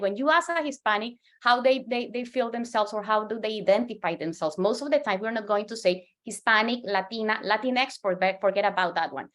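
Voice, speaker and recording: A woman in her forties from Colombia with a Colombian Spanish accent, a native Spanish speaker giving an earnest presentation as a market researcher, often restarting and repeating words. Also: medium pitch. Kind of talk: presentation to a professional audience.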